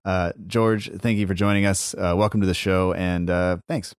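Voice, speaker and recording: A man's voice: sits low, steady tone, moderate pace, clear, resonant tone, no echo, clean studio sound. A man hosting a podcast, talking in an easygoing, loosely phrased way. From United States. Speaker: podcast host. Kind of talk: casual podcast chat.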